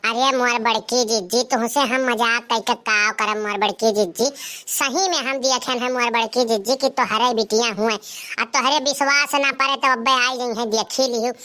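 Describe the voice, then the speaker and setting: A woman doing an excitable, shrill village gossip. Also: normal speed. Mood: protesting. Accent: Awadhi. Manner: emphatic, repetitive, pleading. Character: excitable village woman bringing gossip to her elder sister. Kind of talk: cartoon comedy dialogue.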